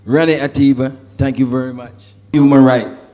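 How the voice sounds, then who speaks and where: A man, a reggae singer, making relaxed banter to the audience before his song. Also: medium pitch, moderate pace, faint music behind. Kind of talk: casual stage banter.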